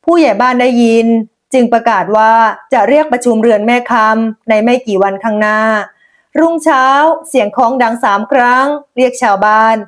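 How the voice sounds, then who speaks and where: A woman storyteller with a fairly high voice reads a scripted village folk tale. Her delivery is dramatic and descriptive, painting each scene. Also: normal speed.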